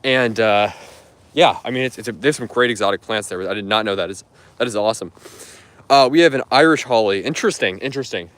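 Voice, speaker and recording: A man with a lowish voice talks quickly and loosely, answering his viewers as he strolls through a garden. His speech is friendly and rambling, full of "um" and "you know" and false starts. The sound is a phone on a live stream outdoors. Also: fairly bright tone. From United States. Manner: rambling, friendly, many fillers and restarts. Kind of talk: casual live-stream chat while walking.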